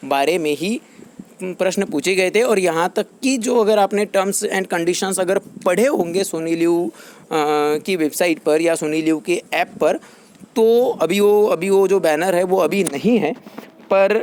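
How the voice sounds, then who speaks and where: A man's voice: high-pitched, measured pace, darkish tone. A man hosting an informal explainer for his channel's viewers, wordy and often repeating himself. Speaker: YouTube channel host.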